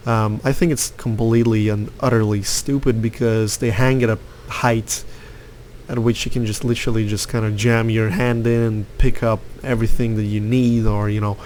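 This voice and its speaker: A man's voice: lowish voice, little pitch movement, normal speed, darkish tone, a little hiss or hum. A young man from Lithuania giving rambling, opinionated commentary over his gameplay, with lots of fillers. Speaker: gaming youtuber.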